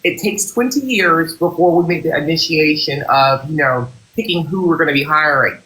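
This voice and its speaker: Woman host, mock-serious CEO interviewer, pompous, bright tone. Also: sits low, moderate pace, low-quality recording. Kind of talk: improvised comic roleplay interview.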